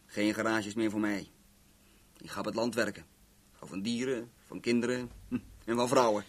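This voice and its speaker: An actor in a 1970s Dutch radio play, playing an easygoing garage owner with a laid-back, musing manner. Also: male voice, on the low side, level tone, normal speed, natural voice. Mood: dreamy, musing. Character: easygoing married garage owner dreaming of emigrating.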